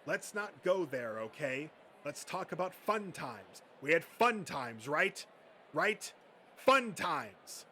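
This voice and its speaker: A man's voice: fairly high pitch, sing-song, takes it slowly, sharp tone, voice pushed up, full character voice. A man voicing an anxious, conciliatory young nerd and boyfriend in a comic dub, with slight noise in the recording. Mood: anxious, placating. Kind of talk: comic dub character acting.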